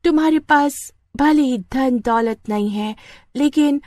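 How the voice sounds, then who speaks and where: A woman's voice: high voice, slow, a little mellow, no room sound, voice pushed up. A woman voicing a cheerful, earnest young English bride who mixes English phrases into her Hindi in a moral story. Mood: earnest, hopeful. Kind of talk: animated moral story dialogue.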